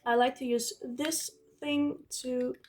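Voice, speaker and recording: Casting: woman, Dutch accent, lightly high-pitched and leisurely, casual chatty crafting narration over soft background music. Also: flat delivery, takes it slowly. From Netherlands. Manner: chatty, informal. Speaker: craft vlogger. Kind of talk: casual narration while journaling.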